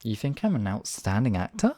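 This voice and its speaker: A man playing a demon king in an intimate ASMR roleplay, husky and theatrical, with deliberate phrasing and lingering pauses. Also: fairly low pitch, sing-song, dark tone. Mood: warm, reassuring. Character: demon king, menacing captor act turning tender, devoted lover.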